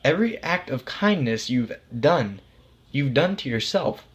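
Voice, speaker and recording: A storybook God played by a male reader who speaks like an easygoing authority figure. The mid-pitched voice is matter-of-fact and reassuring, explaining things with patience. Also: sing-song, measured pace. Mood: earnest, explaining. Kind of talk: acted storybook dialogue.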